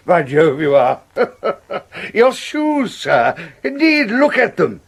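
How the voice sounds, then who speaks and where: An actor with a British accent playing a jovial, pompous villainous professor in a radio drama, chuckling, halting and over-polite. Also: male voice, high voice, big pitch swings, slightly rough, heavily acted. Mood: gloating. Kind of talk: period radio drama acting.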